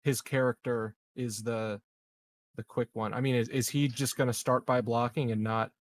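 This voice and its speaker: A man's voice: mid-range voice, steady tone, moderate pace. A man playing a tabletop game, chatting casually with joking and profanity. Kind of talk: casual chat.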